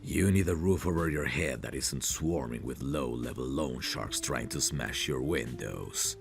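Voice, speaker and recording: A man acting out a possessive, protective mafia boss in an intimate audio roleplay. His voice is extremely deep and gravelly, and he speaks slowly and deliberately. He sounds smooth and sure of himself, coaxing with an edge of menace. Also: over music, heavily acted. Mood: reassuring, persuasive. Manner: slow, deliberate, self-assured, coaxing with pet names.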